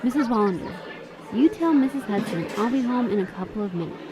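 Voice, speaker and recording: Woman playing a highish-voiced waitress, chatter behind. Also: lively intonation, a little fast, lightly acted. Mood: concerned, curious. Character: plain-spoken young waitress, inquisitive and caring. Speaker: radio drama actor. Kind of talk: radio drama acting.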